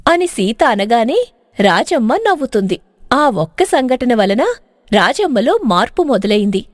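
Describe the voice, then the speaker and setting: A woman's voice: fairly high pitch, big pitch swings, a little slow, no room sound, studio quality. A woman storyteller giving dramatic scripted narration of a moral tale.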